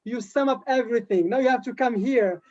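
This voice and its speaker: A man's voice: very high voice, brisk. Male TESOL trainer on a video call, upbeat and repetitive lecturing, muffled lo-fi sound. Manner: lively, encouraging lecturer who repeats key points. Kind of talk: live online teacher training.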